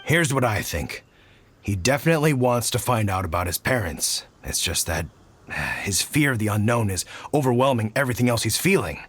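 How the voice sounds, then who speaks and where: A middle-aged man voicing an easygoing, fatherly hunter who talks in a relaxed, thoughtful way. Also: medium pitch, normal speed, slight background noise. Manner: relaxed, thoughtful, frequent sighs. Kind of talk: game cutscene voice acting.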